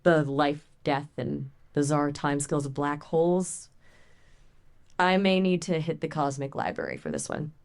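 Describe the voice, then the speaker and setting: A woman's voice as a conversational AI assistant, chatting casually in a playful, expressive, colloquial way. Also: low voice, moderate pace.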